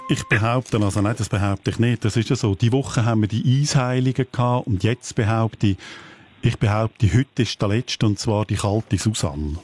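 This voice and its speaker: A man's voice: fairly low pitch, normal speed, darkish tone, soft background music. A man with a Swiss German accent hosting a casual radio quiz, chatty and joking.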